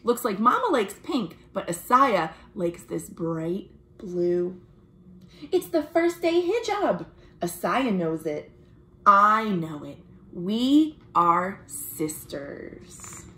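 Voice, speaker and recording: A woman, reading a children's picture book aloud in an expressive, deliberate way as a storytime host. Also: medium pitch. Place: small room at home, indoors.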